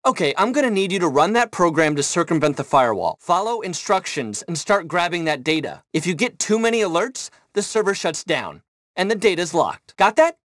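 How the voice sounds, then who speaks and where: Pompous, animated tech mogul man. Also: high-pitched, brisk, no room sound, studio quality. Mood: bossy, impatient. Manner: self-important, curt, bossy. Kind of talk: video game voice acting.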